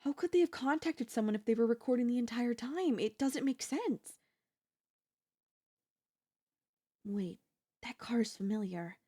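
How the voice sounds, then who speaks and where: A woman's voice: a little high, animated, normal speed, dark tone, breathy edge. A woman playing an obsessive, scheming yandere in a theatrical, haughty manner with formal phrasing, in an ASMR audio roleplay. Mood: stunned, smitten.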